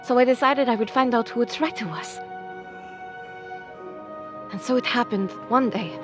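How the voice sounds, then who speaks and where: A woman's voice: fairly high pitch, moderate pace, music in the background, natural voice. Woman playing a wistful girl from France, storytelling. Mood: stirred. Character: wistful French girl telling of her lost friend. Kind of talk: dramatic storytelling in an animated game cutscene.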